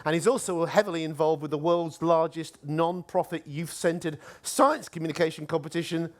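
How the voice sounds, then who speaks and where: A male host with a fairly high voice introduces a contestant at a live competition. He reads out the background in a measured way, then lifts his voice into a rousing call for applause. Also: steady tone. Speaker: event host.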